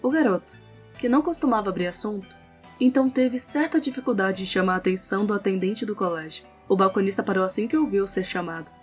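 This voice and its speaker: A woman's voice: mid-range voice, fast. A woman with a Brazilian accent reading scripted narration for an audio novel. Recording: music under the voice.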